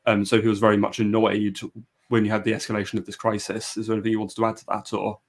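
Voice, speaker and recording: Low, flat-voiced man hosting a chat. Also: fast. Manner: hesitant, many fillers and restarts. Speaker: podcast host. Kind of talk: casual live-stream discussion.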